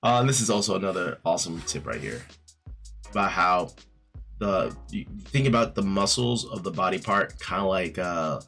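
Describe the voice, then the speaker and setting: A man reviewing an art book in a casual vlog, his voice raspy from just waking up, rambling with many fillers and restarts. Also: sits low, lively intonation, moderate pace. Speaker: art YouTuber. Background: soft background music.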